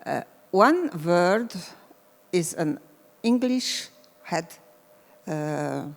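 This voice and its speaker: A composer from former Yugoslavia, a woman whose first language is Hungarian, speaking halting English with a Hungarian accent and searching for words. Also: on the low side, animated, vocal fry. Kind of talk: hesitant panel talk. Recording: reverberant hall.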